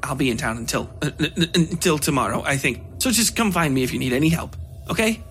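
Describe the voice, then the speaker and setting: A man's voice: fairly high pitch, expressive, quick pace, fairly bright tone, soft background music. A man voicing a nervous, eager, polite traveling salesman who stammers and stumbles over his words, in an audio story. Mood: flustered, stammering.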